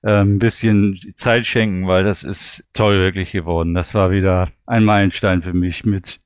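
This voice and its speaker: Low, clear-voiced enthusiastic man. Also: steady tone, normal speed, no room sound, studio quality. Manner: enthusiastic, often repeats "wirklich". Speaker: podcast host. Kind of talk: casual panel chat reviewing audio dramas.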